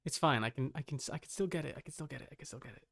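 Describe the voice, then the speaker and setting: Livestreamer, gravelly creak, casual chat, muttered, scattered, self-interrupting. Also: medium pitch, rapid-fire, fairly bright tone.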